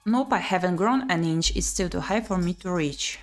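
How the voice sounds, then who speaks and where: A woman's voice: lowish voice, animated, moderate pace. Woman reading a game aloud over music. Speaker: streamer reading and playing a visual novel. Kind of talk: let's play commentary, reading game text aloud.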